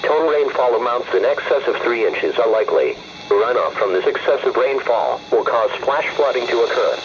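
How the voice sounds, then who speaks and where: A man's voice: medium pitch, expressive, moderate pace. A synthetic man's voice reading a scripted weather alert over the radio in a formal, impersonal bulletin manner. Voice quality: synthetic text-to-speech voice. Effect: weather radio broadcast. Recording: dull lo-fi radio with faint noise.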